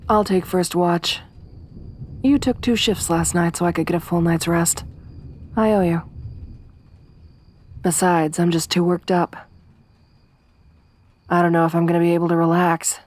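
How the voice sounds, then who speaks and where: A woman voicing a tough young woman survivor around thirty in an ASMR roleplay, soft-spoken with dry humor. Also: lowish voice, fairly even intonation, measured pace, long pauses. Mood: relaxed. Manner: hushed whispering when hiding.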